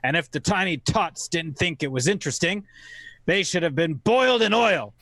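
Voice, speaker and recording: A man narrates in the style of old-time radio theater, wry and oratorical. His voice is gravelly and sits a touch high, with very little room around it. He places his phrases deliberately. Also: lively intonation, fairly bright tone, no echo.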